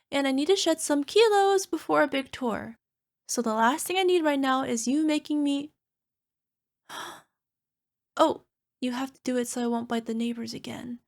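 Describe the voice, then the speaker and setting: A woman plays a self-conscious monster girlfriend with a high-pitched, creaky voice. She speaks conversationally, as in an ASMR roleplay, sounding insecure and dramatically, playfully exasperated with her darling. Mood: fretful, then playfully protesting. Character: insecure chubby flying purple people eater girlfriend, a cyclops monster.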